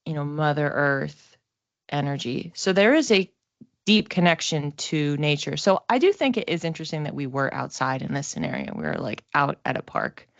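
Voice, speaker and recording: A woman giving a casual, informal tarot reading as a psychic, reflective and halting, often giggly as she talks. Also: sits low, sing-song, gravelly, no room sound, highs cut off.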